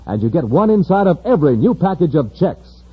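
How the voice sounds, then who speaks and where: A man's voice: on the high side, sing-song, a little fast, breathy edge. A man announcing and narrating a 1950s radio show with an emphatic, theatrical showman delivery. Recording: muffled old radio recording, faint noise.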